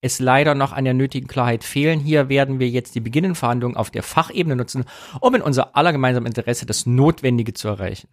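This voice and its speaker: A man at a middle pitch, quick by habit, reads a letter aloud carefully on a casual talk stream. His delivery stays measured and plain. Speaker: co-host.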